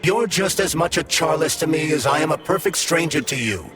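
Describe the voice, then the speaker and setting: Scheming polymer companion, smooth grave voice, higher pitch, lively rise and fall, music clear behind. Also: male voice, brisk. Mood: earnest. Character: male mind in a polymer glove, scheming.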